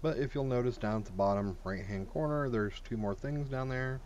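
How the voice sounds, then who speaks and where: A man's voice: mid-range voice, fairly quick, darkish tone, highs cut off, a little hiss or hum. A man giving casual, blunt and sweary commentary while he plays and reviews a video game. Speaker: gamer reviewing a game mod.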